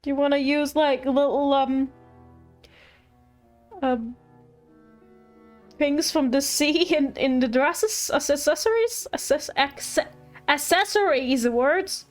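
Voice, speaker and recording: High-voiced woman streaming a game. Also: slow pace, pauses often, faint music behind. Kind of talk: casual live gameplay commentary.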